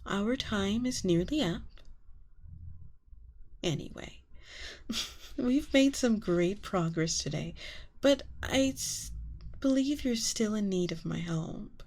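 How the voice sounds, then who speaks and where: A woman playing a seductive, manipulative vampire queen who poses as a therapist in an ASMR roleplay, coaxing and breathy, often slipping into whispers. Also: medium pitch, expressive, slow, pauses often, a little mellow. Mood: sweetly professional, coy. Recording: long reverberant echo on voice.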